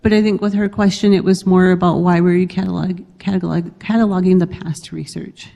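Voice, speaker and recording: Woman, panel speaker, dark mellow tone, conversational with fillers, hall reverb. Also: fairly low pitch, steady tone, brisk, vocal fry. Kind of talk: panel discussion. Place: conference hall.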